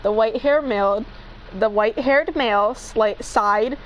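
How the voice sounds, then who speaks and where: A woman's voice: fairly high pitch, measured pace, a little hiss or hum, poor audio. A young woman casually reading fanfiction aloud with joking banter, giggly and free with swearing. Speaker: fanfiction reader.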